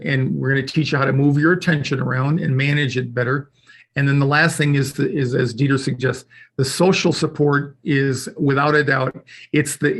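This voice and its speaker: A man's voice: medium pitch, fairly bright tone. A man on a live web show explaining how his course helps nervous flyers, in a reassuring coaching manner. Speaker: fear-of-flying course leader.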